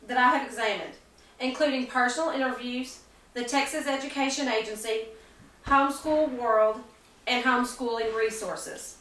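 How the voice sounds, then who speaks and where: A woman giving a persuasive speech for a class, earnest and halting, often restarting her sentences. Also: a little high, bright, forceful tone. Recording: echoey room, mic far off.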